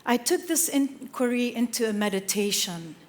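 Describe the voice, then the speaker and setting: A woman from Lebanon giving an inspirational stage talk, slow and measured with dramatic pauses between phrases. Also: a little high, expressive. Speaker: speaker. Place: theater stage.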